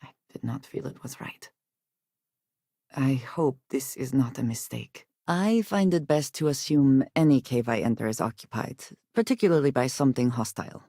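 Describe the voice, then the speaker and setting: A guarded woman space explorer from a video game. Her speech is formal, serious and measured, with few contractions. The recording is close and very dry. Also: rumbling low, animated, mellow, dry recording, exaggerated voice. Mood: troubled, subdued. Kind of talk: scripted video game companion dialogue.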